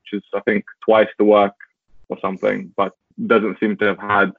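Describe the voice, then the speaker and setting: A young man with a lowish voice answers as a guest, relaxed and easygoing, laughing often and leaning on "like." His voice comes through a tinny, phone-like connection. The sound is dry, with no room around it. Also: moderate pace, vocal fry, no echo. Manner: relaxed, laughs easily, lots of "like" fillers. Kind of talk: casual interview chat.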